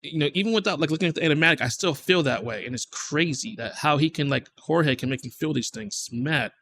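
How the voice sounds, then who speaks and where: A man hosting a reaction video, commenting casually on music and thinking aloud in halting fragments. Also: a little high.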